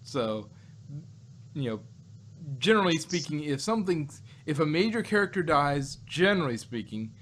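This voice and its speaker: A man's voice: a little high, very animated, normal speed, fairly bright tone, slight background noise. A man in a casual podcast discussion, hosting and rambling as he repeats and rephrases his points. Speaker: podcast host. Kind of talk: casual review chat about sci-fi shows.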